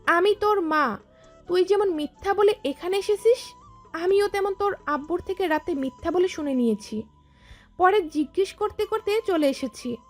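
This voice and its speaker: A woman's voice: very high-pitched, moderate pace. A woman playing a caring but firm mother in an audio story, coaxing and instructing. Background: background music.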